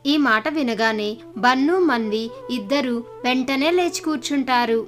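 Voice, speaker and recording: A woman's voice: a little high, normal speed, music in the background. A woman reading scripted narration for a children's story as its narrator.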